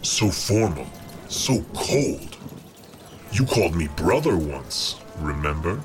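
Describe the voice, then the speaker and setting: A mocking lord of the underworld, taunts theatrically in an audio drama. The voice is low and slow, lurching dramatically up and down in pitch. It is all menace and scorn. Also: male voice, sing-song, music in the background, heavily acted.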